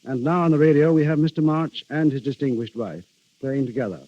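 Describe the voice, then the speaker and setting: A man speaking as a guest writer in a scripted 1930s radio interview, formal and measured. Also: medium pitch, mellow. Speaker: guest playwright. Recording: muffled 1930s radio broadcast.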